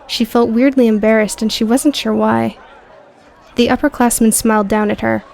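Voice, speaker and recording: Woman narrator, even and slightly creaky. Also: a little high, moderate pace. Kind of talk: scripted audio book narration.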